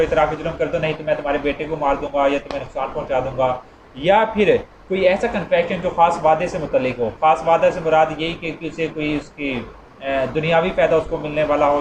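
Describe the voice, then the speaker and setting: A man's voice: fairly high pitch, brisk. A man with a Pakistani accent gives an informal explanatory lecture, repeating and rephrasing his points and mixing in English legal terms. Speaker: law lecturer. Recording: roomy, dull, clearly noisy recording.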